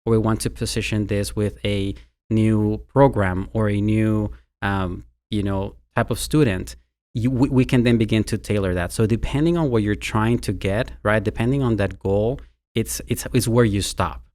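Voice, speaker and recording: Guy from mexico w/ a mexican accent, kinda low voice, chatty, stumbles and repeats words getting going. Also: steady tone, normal speed, darkish tone. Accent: Mexican Spanish. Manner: talkative, repeats words when starting sentences. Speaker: university marketing executive, podcast guest. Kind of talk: conversational interview.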